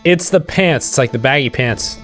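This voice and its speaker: A man's voice: medium pitch, animated, moderate pace, a bit bright, soft background music. A man co-hosting a stream, giving casual running commentary as he plays a video game. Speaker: co-host playing a video game.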